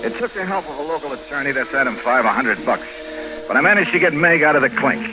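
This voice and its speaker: An actor playing a brisk, impatient, wry American insurance investigator in a 1950s radio drama, clipped and probing as he questions and narrates. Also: on the high side, animated, over loud music, natural voice, low-quality recording. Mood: wry narration. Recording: vintage 1950s radio recording.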